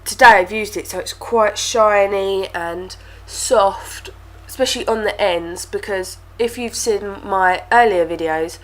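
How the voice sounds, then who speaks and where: A woman's voice: mid-range voice, a little slow, low-quality recording. Chatty young woman vlogger, stuffy-nosed, rambling product talk. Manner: chatty, with lots of fillers and repeated words. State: stuffy nose from a cold. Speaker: beauty vlogger. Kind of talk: casual product review to camera.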